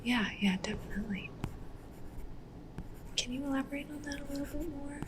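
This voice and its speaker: A woman playing a polite, discreet cyberpunk matchmaker in an ASMR roleplay, speaking gently and professionally in a breathy whisper. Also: high voice, fairly quick, noisy background, voice pushed up, lightly acted. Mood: calm, businesslike. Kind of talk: soft-spoken ASMR roleplay.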